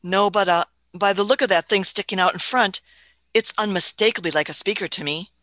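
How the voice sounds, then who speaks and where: A woman's voice: medium pitch, fairly quick, fairly bright tone, no room sound, natural voice, poor audio. A woman playing an elderly, curious, imperious time-travelling scientist in a radio play, hemming and musing as she goes. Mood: pensive. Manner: hemming, musing, punctuating with "hmm" and chuckles.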